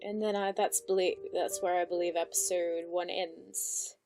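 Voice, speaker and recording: A woman's voice: fairly low pitch, flat delivery, moderate pace, dark tone. Woman doing casual reaction commentary over music. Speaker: online reaction host.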